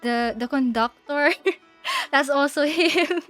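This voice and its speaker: High-voiced, unhurried woman livestreamer. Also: fairly bright tone. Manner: repeats words, thinks aloud. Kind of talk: casual game stream commentary. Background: faint game music.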